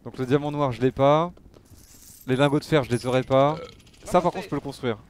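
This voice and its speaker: A man's voice: mid-range voice, fairly quick, slight background noise. A man giving casual live game commentary, muttering to himself and swearing freely. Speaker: game streamer.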